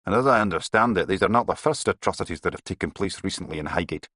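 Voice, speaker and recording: A man's voice: low-pitched, animated, quick pace, fairly bright tone, husky, no room sound, heavily acted. A stern Scottish police inspector who weighs every word with grave authority, as a man reads him aloud. His voice sits a little above the reader's own and swings freely. The recording is dry and close.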